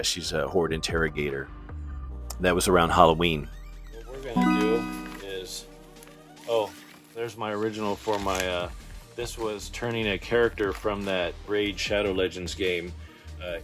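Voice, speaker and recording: Low-pitched middle-aged man, casual and halting. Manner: informal, halting, self-deprecating. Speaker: artist showing his portfolio. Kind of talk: casual rambling commentary over artwork. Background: background music.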